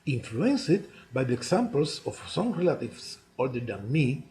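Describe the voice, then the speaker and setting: A man's voice: mid-range voice, very animated, measured pace, warm tone, a little hiss or hum. Cuban man in his sixties, accented English. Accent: Cuban Spanish. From Cuba. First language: Spanish. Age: 60s. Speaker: chess player narrating own life story. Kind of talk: autobiographical monologue.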